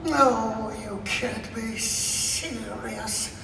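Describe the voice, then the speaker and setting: A man's voice: high-pitched, extremely slow, creaky, full character voice. A man voicing a hungry, petulant cartoon snake, languid and wheedling, live on stage. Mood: sly, petulant. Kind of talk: live cartoon character performance. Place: large convention hall stage. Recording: echoey hall from far off, crowd noise.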